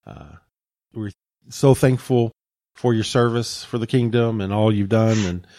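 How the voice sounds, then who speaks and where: A man co-hosting a podcast, talking casually in an earnest, supportive way with slow, deliberate phrasing. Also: on the low side, steady tone, mellow, dry recording. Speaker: podcast co-host.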